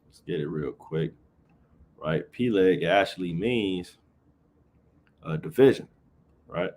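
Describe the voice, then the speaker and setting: A man's voice: low-pitched, animated, takes it slowly, a little mellow, a little hiss or hum. A man teaching scripture informally on a livestream, reading verses aloud, then explaining them casually and tagging nearly every phrase with "right?". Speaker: Bible teacher.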